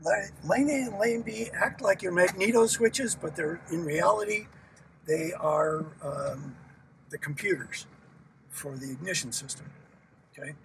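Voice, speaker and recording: Gravelly man explaining a cockpit checklist. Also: high-pitched, a little slow, far from the mic, slight background noise, rough recording. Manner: relaxed, explanatory, talks while working controls. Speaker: aircraft sales demo pilot. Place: small aircraft cockpit with engine running.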